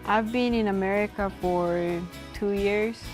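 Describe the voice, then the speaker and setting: A woman's voice: mid-range voice, steady tone, unhurried, music in the background. A woman from South Korea studying architecture, talking casually with many "like" fillers. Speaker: architecture student.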